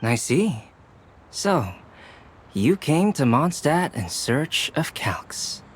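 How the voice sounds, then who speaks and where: An actor playing a calm, polite young alchemist and artist in a video game, speaking in a measured, formal, thoughtful way. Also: male voice, on the high side, slight background noise, full character voice. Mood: courteous, musing. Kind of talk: video game character dialogue.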